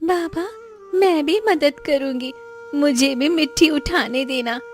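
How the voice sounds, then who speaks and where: A woman's voice: sits high, slow, pitched above the performer's own voice. A woman voicing an eager, caring little village daughter in a moral story, childlike and earnest. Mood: eager, pleading. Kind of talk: Hindi moral story voice acting. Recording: faint music behind the voice.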